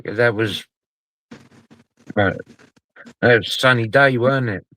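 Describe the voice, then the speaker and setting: A man's voice: medium pitch, unhurried, bright, forceful tone, a little hiss or hum, poor audio. A man from the United Kingdom hosting a casual live stream, chatting in a laid-back way and laughing often. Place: outdoors or in a car.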